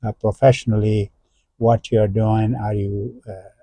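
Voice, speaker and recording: Older man, halting professorial host. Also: fairly low pitch, flat delivery, warm tone, breathy edge. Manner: halting, many "uh" fillers, explanatory. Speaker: interview host, professor emeritus. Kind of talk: unscripted interview.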